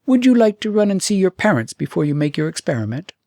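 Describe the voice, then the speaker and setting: A man's voice: sits high, very animated, fast talker, a little mellow, no echo, studio quality, voice pushed up. A man voicing a short-tempered, pompous fairytale king in a storybook, with an imperious, bawling manner. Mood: grudgingly gracious. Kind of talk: storybook character acting.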